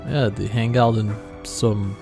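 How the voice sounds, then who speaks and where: A man's voice: lowish voice, fairly even intonation, slow pace, gravelly, warm tone. A man giving casual video game commentary, reading text aloud and adding wry asides. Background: game music.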